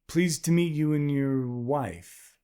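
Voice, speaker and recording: A man voicing a polite, nervous young man who speaks haltingly, in a storybook reading. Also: a little high, warm tone, pitched above the performer's own voice. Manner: halting, filler-heavy, unsure.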